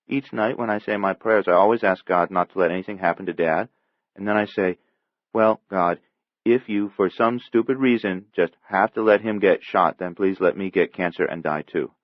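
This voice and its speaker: A man's voice: low voice, normal speed, no room sound, lo-fi sound, natural voice. A man voicing a small seven-year-old boy, anxious and stubborn, with childish, insistent questioning in a storybook reading. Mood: earnest, morbid.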